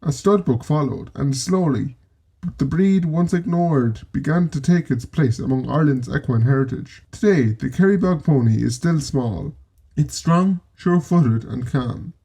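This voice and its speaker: An Irishman with a slightly higher voice narrates in a steady, scripted way, like a documentary presenter. His tone is mellow and warm, and he slips in dry, joking asides between the facts. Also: creaky, slightly rough. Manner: measured storytelling with wry asides.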